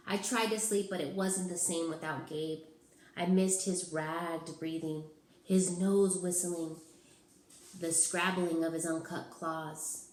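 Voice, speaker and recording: A woman giving an expressive narration of a children's storybook, as a teacher reading aloud. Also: lowish voice, slow pace, a little hiss or hum. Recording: roomy, echoing sound.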